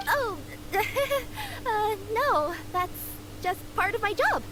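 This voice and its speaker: An earnest lil cat courier, high and swingy, gets flustered, game audio with noise behind. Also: female voice, big pitch swings, extremely slow, full character voice. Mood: nervous, flustered. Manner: earnest, stammering when nervous. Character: young cat-spirit courier girl, eager, polite, flustered. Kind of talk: video game voice acting. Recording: game capture with clear background noise.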